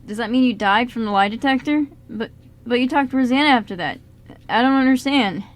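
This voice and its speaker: A woman's voice: fairly high pitch, brisk, close to an everyday voice. A woman playing a curious, puzzled young man in an amateur radio drama, speaking in a casual, hesitant way. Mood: confused. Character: curious, puzzled young male friend of time traveler. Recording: noisy amateur radio show recording.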